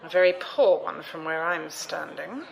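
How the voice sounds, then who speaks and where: A woman's voice: low voice, moderate pace, creaky, dull audio. An actress playing an imperious, disapproving old lady emissary with formal speech in an audio drama. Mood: alarmed disapproval.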